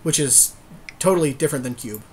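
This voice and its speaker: A man's voice: mid-range voice, lively intonation, brisk, a little hiss or hum. A man giving casual commentary on a card game as a podcast co-host, chatty and thinking aloud with a partner.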